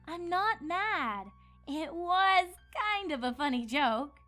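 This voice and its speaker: A woman's voice: very high voice, big pitch swings, slow, sharp tone, faint music behind, pitched above the performer's own voice, exaggerated voice. A woman voicing a frazzled, snarky young adult pony mare who is easily exasperated, for a cartoon story reading. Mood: coaxing.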